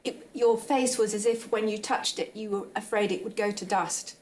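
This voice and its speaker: A woman's voice: medium pitch, steady tone, moderate pace, a little mellow. A woman asking questions as a reporter at a press conference. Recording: faint background noise, room ambience.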